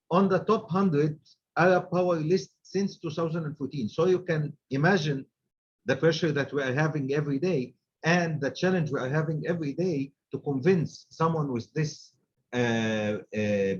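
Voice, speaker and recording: A man's voice: on the high side, dull audio, slight background noise. A man in his forties from Egypt, an Arabic speaker, giving a chatty business presentation that he keeps punctuating with "okay". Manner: chatty, peppers talk with "okay". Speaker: guest speaker.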